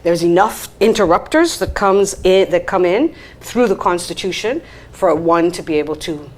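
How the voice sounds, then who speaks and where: Firm low-voiced woman. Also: normal speed, a little mellow. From Bahamas. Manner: firm, argumentative. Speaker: panel guest. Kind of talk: discussion panel.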